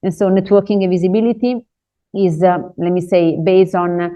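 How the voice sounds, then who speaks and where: A woman giving a business webinar presentation in non-native English, pausing often with "uh" fillers. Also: fairly low pitch, steady tone, mellow. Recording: dull lo-fi webinar audio.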